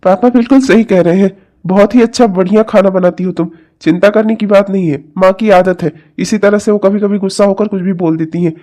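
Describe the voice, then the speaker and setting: A high male voice of a young married son, played by a man. He explains things earnestly as the peacemaker in a melodramatic family story. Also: steady tone, a little fast, warm tone, natural voice. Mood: reassuring.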